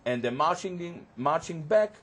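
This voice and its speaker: Halting man, Hebrew native speaker. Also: on the high side, lively intonation, a bit bright. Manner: halting, searching for words, many fillers. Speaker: panel guest. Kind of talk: studio panel discussion. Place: community TV studio.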